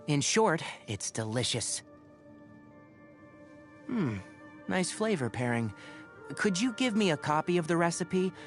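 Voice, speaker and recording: A young fox-eared forest ranger from a fantasy game. He is bookish and dry-witted, with a lively, swooping delivery and careful diction, over clear background music. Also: on the high side, a little slow, long pauses. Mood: kindly, instructive. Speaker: video game character. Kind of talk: scripted game voice lines.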